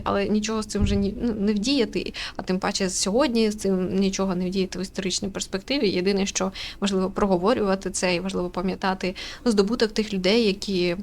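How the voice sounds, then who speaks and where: A woman from Ukraine giving casual, chatty let's-play commentary, halting as she thinks aloud and often giggly. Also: medium pitch. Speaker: gaming streamer. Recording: faint room noise and ambience.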